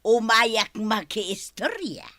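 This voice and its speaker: Forgetful old village wife, Ilocano accent, piercingly bright voice, swooping pitch, plaintive, defensive, audio drama. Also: female voice, very animated, takes it slowly, dry recording, full character voice. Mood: flustered.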